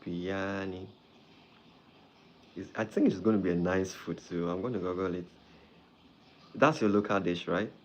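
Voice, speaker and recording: A man from Ghana, hosting a live stream as a doctor and warmly greeting viewers by name. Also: sits low, fairly even intonation, moderate pace, long pauses, muffled recording. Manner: friendly, greets viewers by name, repeats phrases.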